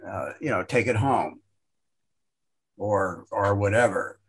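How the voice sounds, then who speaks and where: A man leads a casual meditation group discussion. His voice is slightly husky, and he asks friendly questions, often restarting his sentences. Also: on the low side, takes it slowly.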